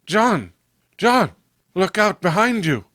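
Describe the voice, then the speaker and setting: A man's voice: sits high, big pitch swings, takes it slowly, a bit bright, no echo, pitched above the performer's own voice, exaggerated voice. Guy doing a scared frantic widow from a horror comic, super dramatic, dry sound. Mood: terrified. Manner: melodramatic, fearful. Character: frantic widow recounting a killer doll's attack.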